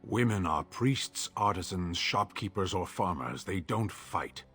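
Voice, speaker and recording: A stern giant warrior in a fantasy game. His voice is very low with a husky grain. He speaks tersely and literally, with blunt, deadpan authority. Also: measured pace, soft background music, full character voice. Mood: stern, puzzled. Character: stern, blunt Qunari warrior, huge stoic man. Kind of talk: video game dialogue.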